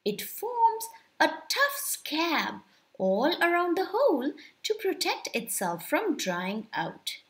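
A young woman reading a children's story aloud in an expressive, deliberate storytelling manner. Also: high voice. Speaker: storyteller, channel host.

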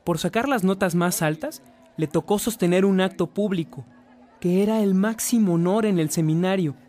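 A man's voice: sits high, moderate pace, darkish tone, natural voice. A man narrating a scripted radio drama, telling the life story of a saint. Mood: measured narration.

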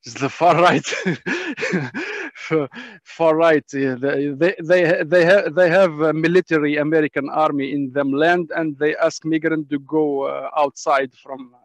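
A man who is a non-native English speaker gives informal testimony as a panel speaker, haltingly searching for words in broken English on a muffled video call. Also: on the high side, fairly even intonation, no echo. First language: not English. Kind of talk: informal spoken testimony in a webinar. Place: on a video call from a dim space.

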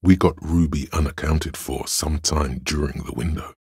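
A male inner voice of pure reason for a video game. It is exceptionally deep and rough with husk, recorded close and dry. The delivery is measured and analytical, with a skeptical, sardonic edge. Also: steady tone, darkish tone, no room sound, exaggerated voice. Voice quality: very deep, gravelly husky. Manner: measured, deliberate, dryly sardonic. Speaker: voice actor.

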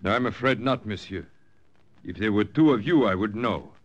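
An actor playing a wary, formal French Resistance farmer with a French accent in an old-time radio drama. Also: male voice, on the low side, normal speed, dull audio, close to an everyday voice. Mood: guarded. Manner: formal, pointed, suspicious. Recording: vintage radio drama recording.

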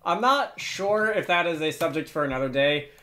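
A man's voice: on the high side, expressive, normal speed, pushed and bright. A man presenting a casual, unscripted screencast tutorial, hesitantly thinking aloud as he works. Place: indoors at a computer.

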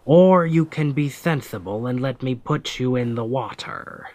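A man playing a stern, commanding pirate captain with gruff protectiveness in an ASMR roleplay, speaking deliberately and with authority. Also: darkish tone, no room sound, lightly acted. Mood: stern, pragmatic. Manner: slow, deliberate, authoritative, phrases trailing low.

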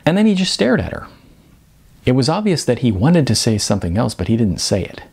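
A middle-aged man giving an expressive scripted narration, as in an audiobook. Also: mid-range voice, brisk, vocal fry, breathy edge. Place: small bright room indoors.

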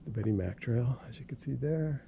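A man casually narrating his own hike through snowy woods, pointing out trail features in a relaxed, plain-spoken way. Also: mid-range voice, fairly quick, creaky, highs cut off. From New England, US. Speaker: hiker filming his own trail video. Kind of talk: casual narration while hiking. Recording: loud outdoor noise, clicks.

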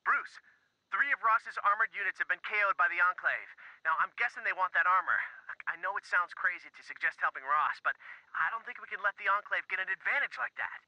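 Excitable young informant: high, animated, urgent video game delivery on a noisy line. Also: male voice, no echo, muffled recording, exaggerated voice. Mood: anxious. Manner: urgent, hurried. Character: excitable, jittery young male sidekick hacker informant. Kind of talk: video game radio briefing acting.

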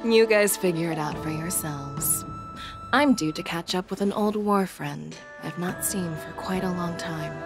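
A bold female general in a video game. Her voice is on the low side, resolute and assured, with clear music behind it. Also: unhurried. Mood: breezy. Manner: assured, declarative.

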